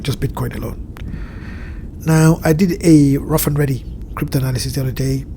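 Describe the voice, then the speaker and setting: A man with a stuffy nose from a cold, his voice sitting at a middle pitch with a dark, mellow tone. He explains a chart in a measured way, often pausing and restarting as he works out his points. A faint low rumble runs underneath. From Southern Hemisphere. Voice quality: slightly nasal. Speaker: crypto market analyst. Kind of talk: screen-share chart walkthrough. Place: home at evening, indoors.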